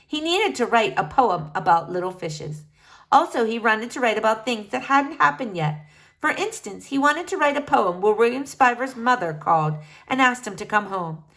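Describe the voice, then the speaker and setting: A woman reads a children's story aloud in an expressive way. Her voice sits fairly high and moves up and down in a lively fashion. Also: normal speed. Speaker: reader. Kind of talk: children's book read-aloud.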